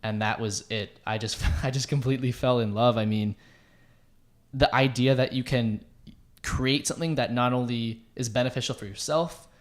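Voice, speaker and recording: A man's voice: medium pitch, normal speed. A young man, a student club president, chatting on a podcast in an upbeat, promotional way. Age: young adult. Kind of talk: casual podcast chat.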